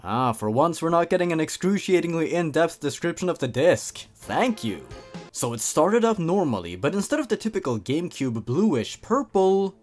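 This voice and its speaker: Male comedy commentator, slightly high voice, very animated; theatrical story reading broken up by sarcastic remarks. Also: moderate pace, faint music behind. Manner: theatrical emphasis with wry, sarcastic asides. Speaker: comedy video host reading and commenting on horror fan fiction. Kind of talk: comedic commentary mixed with dramatic story read-aloud.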